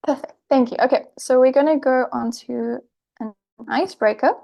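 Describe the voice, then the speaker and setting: Young woman host, around twenty, higher voice with vocal fry, earnest and enthusiastic. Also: moderate pace, dark tone. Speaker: program co-coordinator. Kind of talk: hosting an online orientation. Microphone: video call.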